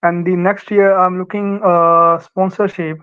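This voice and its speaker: A young man from Pakistan with a Pakistani accent, Urdu his first language, giving halting advice as a guest with many fillers and restarts. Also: sits high, level tone, clear, resonant tone, lo-fi sound. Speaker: guest, former student. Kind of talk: casual interview advice.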